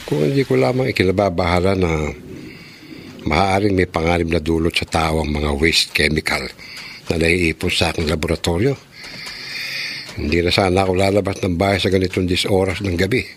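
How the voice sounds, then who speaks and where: A man's voice: sits low, steady tone, normal speed, a little hiss or hum. A man playing a scientist who talks to himself in a radio drama monologue, explaining as he goes. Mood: musing. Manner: expository, thinking aloud. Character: male home-lab scientist talking to himself.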